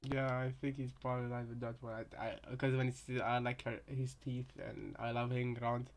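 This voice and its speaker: A man giving casual reaction commentary on game voice lines, rambling and halting, often repeating his phrases. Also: medium pitch, level tone, creaky, a little hiss or hum. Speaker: reaction video host.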